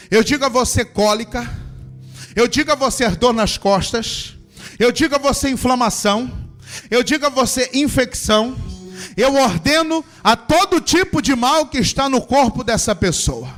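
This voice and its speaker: Fervent high-voiced pastor praying. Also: male voice, animated, moderate pace, soft background music. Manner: fervent commanding prayer, rising to shouts. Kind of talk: healing prayer sermon. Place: church service.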